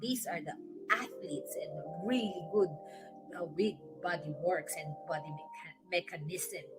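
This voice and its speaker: A woman with a Filipino accent hosting a weekly inspirational talk segment, explaining ideas gently like a teacher with soft laughs. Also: fairly low pitch, measured pace, loud music behind the voice. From Philippines. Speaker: host.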